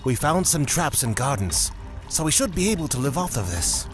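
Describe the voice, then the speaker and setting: A man playing a cautious, calculating clan leader in an audio drama, speaking in a measured, deliberate way. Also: a little high, sing-song, over music. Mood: firm, alert.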